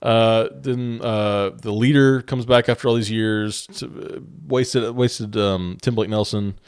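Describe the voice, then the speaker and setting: A man's voice: on the low side, fairly even intonation, measured pace, darkish tone, clear, resonant tone. A man co-hosting a podcast, chatting casually and rambling with many fillers and restarts. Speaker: podcast co-host. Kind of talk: casual chat.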